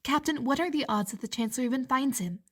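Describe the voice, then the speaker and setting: An anxious, loyal subordinate in a fantasy audio drama. Her fairly high, husky voice is hushed and hesitant, always deferential to her superior. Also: fast. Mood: worried.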